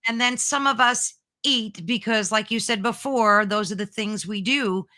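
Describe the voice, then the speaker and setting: A woman hosting an interview, effusive and quick to agree and praise. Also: a little high, bright, forceful tone, no echo, studio quality. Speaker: host. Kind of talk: interview chat.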